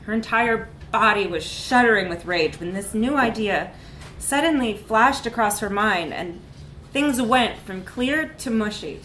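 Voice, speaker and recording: A woman reading a comic short story aloud in a live literary reading, with expressive, dramatic emphasis. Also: mid-range voice, a little slow. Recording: echoey room with background noise.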